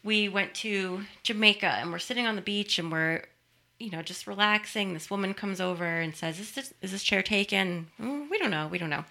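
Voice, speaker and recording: A woman's voice: medium pitch, normal speed, gravelly, pushed and bright. A woman producing a podcast, telling a story in a chatty, casual way and laughing easily.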